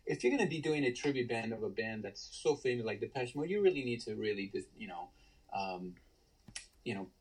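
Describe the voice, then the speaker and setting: A man in his thirties with a baritone voice, telling stories with enthusiasm and lots of restarts in a casual podcast interview. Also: expressive, a little fast, breathy edge, rough recording. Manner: enthusiastic storyteller, many restarts. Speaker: guest, tribute band singer.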